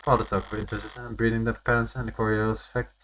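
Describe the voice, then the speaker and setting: An actor voicing a cool, guarded professional lawyer in a video game, dryly and tersely, in measured lines. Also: mid-range voice, flat delivery, fairly bright tone, a little hiss or hum, natural voice, low-quality recording. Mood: brooding, cold. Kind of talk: voiced visual novel, inner monologue and dialogue. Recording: game audio with music behind. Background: music.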